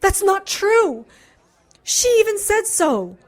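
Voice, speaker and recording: A woman's voice: very high voice, big pitch swings, slow pace, full character voice. A woman playing a protective, defensive big sister in an audio drama, earnest and emotional. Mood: pleading, defensive.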